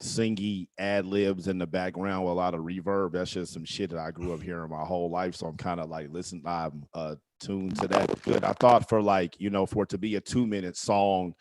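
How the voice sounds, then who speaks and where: Man, from Indianapolis, deep voice, guest reviewer, laid-back, frank, dry sound. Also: little pitch movement, fairly quick, hardly any pauses, a little mellow, no room sound. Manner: laid-back, frank, swears, drops into low mumbles. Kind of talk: casual feedback on a track.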